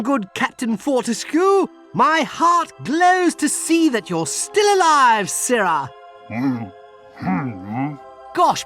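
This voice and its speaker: A man's voice: very high voice, fairly bright tone, heavily acted. A man playing a chummy, sentimental, boyish hero archer in a game cutscene, gushing and theatrical. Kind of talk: animated game cutscene acting.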